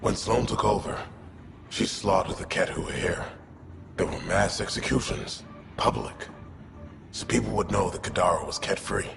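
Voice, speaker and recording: A man playing a gruff, pragmatic outlaw lieutenant in a video game, gravelly and terse. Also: low voice, normal speed. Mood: guarded. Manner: terse, clipped, matter-of-fact. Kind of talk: video game cutscene acting. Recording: long reverb, clear background noise.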